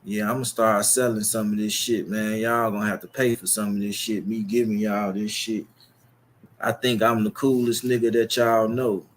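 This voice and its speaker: A man's voice: on the low side, little pitch movement, normal speed, a little hiss or hum. A young man with a Chicago accent, talking straight to his viewers in a long, boastful, profane and rambling monologue. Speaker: YouTube streamer.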